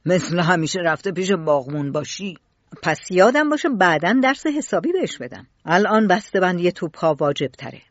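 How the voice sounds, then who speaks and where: Lady doing a low loud grumpy factory boss guy, blunt and scolding, dull dry audio. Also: no echo. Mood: spiteful. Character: harsh gruff grown man, factory boss.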